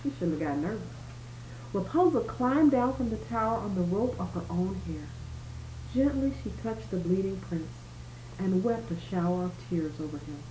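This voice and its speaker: A woman's voice: mid-range voice, animated, moderate pace, dark tone. A woman reading a bedtime story aloud expressively, with small explanatory asides as if to a child. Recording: roomy home recording with faint noise.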